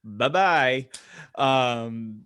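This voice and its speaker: A man with a mid-pitched voice chats casually as a podcast co-host. He gives blunt opinions in halting, conversational phrases, and the sound is close and dry. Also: lively intonation, a bit bright, clean tone, dry recording.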